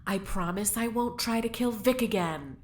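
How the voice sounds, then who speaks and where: A woman's voice: on the high side, moderate pace, a little mellow, slight background noise, natural voice. A woman playing a surly, grudging prisoner in an audio drama, curt and sarcastic. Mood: annoyed. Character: surly, sardonic female prisoner, grudgingly cooperative. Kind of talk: scripted audio drama acting.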